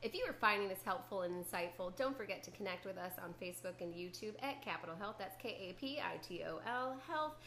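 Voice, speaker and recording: A woman's voice: mid-range voice, expressive, fast talker, few pauses, fairly bright tone. A woman hosting a podcast in casual chat, bubbly and gushing, with some swearing. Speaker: podcast host. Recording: echoey room with faint noise.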